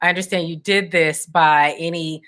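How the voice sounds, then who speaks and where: A woman hosting an interview, with a polished, steady presenter delivery. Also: low voice, normal speed. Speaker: host. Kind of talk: scripted then conversational interview hosting.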